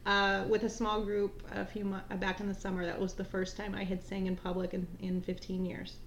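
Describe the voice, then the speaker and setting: A soft-spoken woman with a weak voice, a podcast co-host chatting casually and laughing often. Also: medium pitch, completely flat delivery, fairly quick, reverberant, lo-fi sound, slight background noise. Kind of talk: casual chat.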